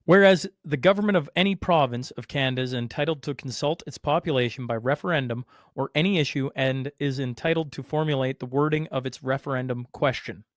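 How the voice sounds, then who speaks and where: A man's voice: mid-range voice, a little fast, few pauses, dry recording, clean studio sound. A man hosting a podcast, reading legal text aloud carefully and joking easily between passages. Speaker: podcast host.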